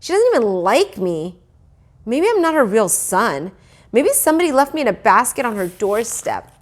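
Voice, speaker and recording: Woman doing a sulky kid boy from a storybook, indignant, complaining, pitched up. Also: big pitch swings, normal speed. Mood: sulky, hurt. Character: aggrieved, sulky fourth-grade boy.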